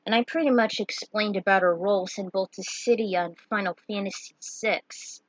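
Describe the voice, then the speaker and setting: A woman's voice: mid-range voice, normal speed, no echo, poor audio. A woman narrating her own fan fiction, with some casual asides in her own words. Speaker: fan fiction writer and reader.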